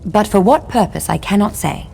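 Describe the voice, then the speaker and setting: An actress playing a long-imprisoned elven wife in a fantasy game, speaking formally and solemnly, with bitterness and longing. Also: female voice, medium pitch, lively intonation, moderate pace, creaky, dark tone, breathy edge. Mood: apologetic, measured. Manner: formal, archaic, solemn phrasing. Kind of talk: scripted fantasy game dialogue.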